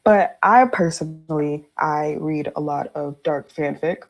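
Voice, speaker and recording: A woman's voice: deep voice, unhurried, faint music behind. A woman speaking candidly and informally on a podcast, explaining her personal views in a casual conversational style.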